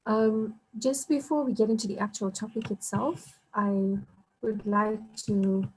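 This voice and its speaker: A woman's voice: medium pitch, fairly even intonation, measured pace, darkish tone, slight background noise, poor audio. A woman from South Africa, an invited guest speaker, giving an online workshop lecture over a video call in a polite, explaining way with frequent ums.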